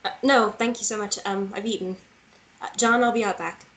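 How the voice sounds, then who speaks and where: A woman's voice: mid-range voice, steady tone, a little fast, fairly bright tone, natural voice, rough recording. A woman acting an earnest, stubborn teenage girl in a screenplay table read. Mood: polite, hesitant. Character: teenage girl Lily, stubborn, curious, dreamy.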